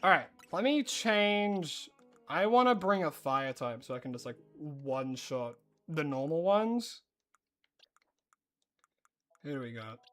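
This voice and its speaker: Australian streamer, casual gaming chat, rambling and excitable, voice all over the place. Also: takes it slowly, long pauses, faint music behind. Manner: rambling, excitable, jumps into high squeals of surprise.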